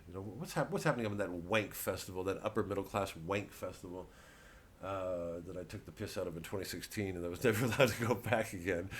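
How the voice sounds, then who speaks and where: A man's voice: medium pitch, bright, forceful tone. A male comedian delivering a ranting, conversational monologue, profane and sarcastic, with open scorn. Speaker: satirical comedian. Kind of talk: ranting comedic monologue, part casual chat.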